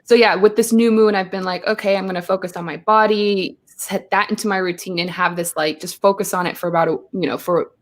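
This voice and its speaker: A chatty woman from Belgium, speaking at a medium pitch. She tells stories in a lively way and slips into quoted asides as a guest on a live show. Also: a little fast, few pauses. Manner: chatty, animated storytelling with acted-out quotes. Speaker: guest, choreographer. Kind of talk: casual interview chat. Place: home, live stream.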